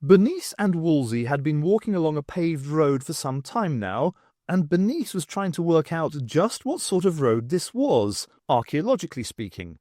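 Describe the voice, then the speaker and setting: A man reading a story aloud as an audiobook narrator, in an expressive scripted style. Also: a little high, dry recording, clean studio sound.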